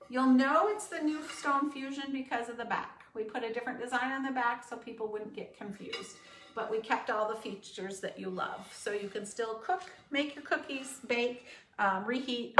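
A woman's voice: fairly high pitch, fairly bright tone. A woman in a home kitchen, chatting upbeat to followers as she demonstrates cooking, with frequent ums. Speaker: cooking show host and sales consultant. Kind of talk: casual demo talk to followers. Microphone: far camera. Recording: echoey kitchen, mic at a distance.

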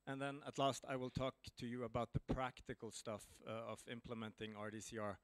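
A man's voice: mid-range voice, flat delivery, brisk, smooth, no echo. A man in his thirties with a Norwegian accent, speaking English as he informally outlines a conference session. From Norway. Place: conference room before an audience. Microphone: handheld microphone held close.

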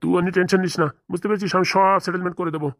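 A man's voice: sits high, level tone, very fast, a bit bright, no echo, studio quality. A man playing a stern, protective middle-aged father-in-law who lectures insistently in a comic cartoon. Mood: reassuring, protective. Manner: insistent, lecturing, rhetorical questions. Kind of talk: comic cartoon drama dialogue.

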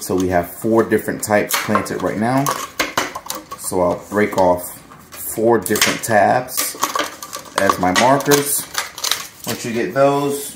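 Low-voiced man demoing in a kitchen. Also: very noisy. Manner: relaxed, chatty, jokey asides. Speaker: gardening channel host. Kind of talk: casual how-to demonstration. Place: home kitchen, indoors.

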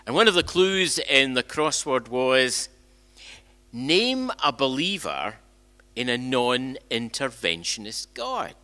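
A man's voice: medium pitch, pushed and bright. An older man preaching a conversational sermon as a minister in a church, often restarting and repeating phrases and posing rhetorical questions. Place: church sanctuary, indoors. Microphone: gooseneck lectern microphone.

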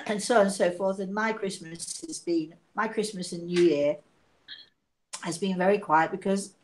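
Host, sixties woman, raspy sick voice, warm rambling chatter, home tablet mic. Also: lowish voice, steady tone, measured pace, long pauses, background noise. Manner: chatty, laughing, self-mocking. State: hoarse from illness, coughing. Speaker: psychic medium hosting a live show. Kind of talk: casual live-stream chat. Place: living room at home. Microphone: device camera at arm's length.

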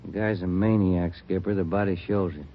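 An actor playing a loyal, matter-of-fact police detective's partner in an old radio crime drama, terse and dry. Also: male voice, deep voice, steady tone, fairly quick, natural voice. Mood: weary, grim. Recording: muffled old radio recording with music.

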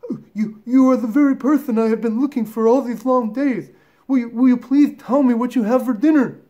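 A man's voice: a little high, lively intonation, a little fast, a little mellow, voice pushed up, lightly acted. A man voicing a polite, curious young elephant child, speaking earnestly and breathlessly in a storybook reading. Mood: breathless, eager.

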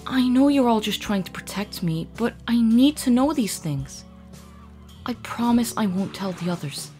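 A woman plays a worried little boy in a cartoon dub. The youthful voice is hesitant and pleading, with lively swings of pitch over a music bed. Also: moderate pace, close to an everyday voice. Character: earnest, worried young boy, pleading and curious.

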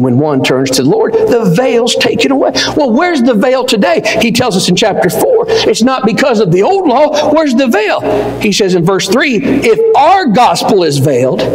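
Impassioned older preacher, high voice. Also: male voice, slight background noise. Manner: impassioned, rising to near-shouts, dropping low and slow. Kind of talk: sermon. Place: church auditorium. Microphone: pulpit mic, wide far camera. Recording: echoey hall with long reverb.

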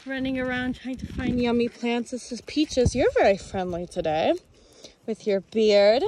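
A woman giving a casual outdoor tour on a handheld phone, talking affectionately as if to animals. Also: a little high. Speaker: ranch owner giving a tour. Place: outdoors in an open dry pasture. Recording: noisy outdoor phone recording.

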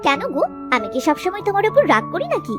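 A woman playing a nagging but devoted village housewife in a cartoon, expressive, shifting between scolding and coaxing. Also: high-pitched, quick pace, over music. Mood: pleased, affectionate. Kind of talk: cartoon voice acting.

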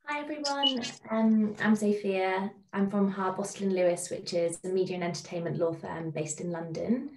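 A woman's voice: medium pitch, normal speed, few pauses, background noise. A woman lawyer from the UK introducing herself on a video call panel. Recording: roomy, distant video call audio.

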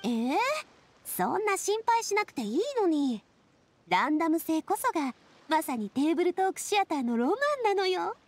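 High, lively, spirited young woman. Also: moderate pace, heavily acted. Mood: excited, teasing. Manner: expressive, breezy, encouraging. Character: spirited, confident, sociable young woman adventurer. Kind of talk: anime video game acting. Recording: music under the voice.

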